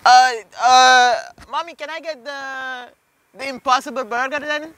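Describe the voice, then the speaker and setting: A young man plays a polite, bewildered male customer with a very high, bright voice. He orders haltingly and insistently in a prank, inside a car with faint noise around him. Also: steady tone, exaggerated voice. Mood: confused, insistent. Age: 20s. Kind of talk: prank ordering at a drive-thru. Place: inside a car at a drive-thru, at night. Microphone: camera from the passenger seat.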